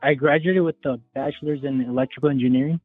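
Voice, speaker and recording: A man's voice: mid-range voice, fairly even intonation, quick pace, dry recording. Young man on a tinny phone line. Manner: rambling, hedging with "like". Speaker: caller. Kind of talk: casual phone call.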